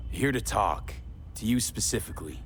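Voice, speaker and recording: An actor playing a blunt male mercenary who asks short, direct questions over soft club music. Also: on the low side, animated, normal speed, a little hiss or hum, close to an everyday voice. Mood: probing. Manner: terse, direct, probing.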